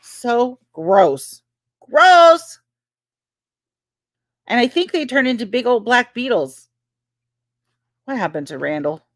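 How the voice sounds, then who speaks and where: A woman's voice: on the high side, very animated, takes it slowly, long pauses, no echo, clean studio sound. A woman co-hosting a livestream, talking in a sarcastic, profane, squeamish way. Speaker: livestream co-host. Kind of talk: casual livestream banter.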